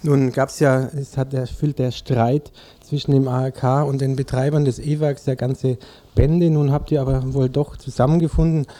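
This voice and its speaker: A man's voice: mid-range voice, little pitch movement, normal speed, warm tone. A man working as a radio interviewer, reading a prepared report and putting questions to a guest.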